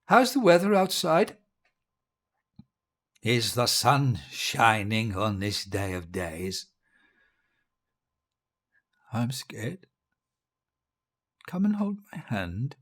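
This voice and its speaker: An actor playing a dying, deranged dictator, cruel, grandiose and childish, in a theatrical audio drama monologue. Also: male voice, medium pitch, sing-song, exaggerated voice. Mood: frightened, pleading. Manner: theatrical, volatile, slow and deliberate, swinging from hush to bellow.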